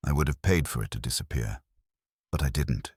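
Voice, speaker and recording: A man playing a weary, reclusive old retired councillor, speaking in terse, halting, guarded phrases in a mystery story. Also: very deep voice, breathy edge, no room sound, clean studio sound, exaggerated voice. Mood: defensive. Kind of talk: audiobook detective mystery character reading.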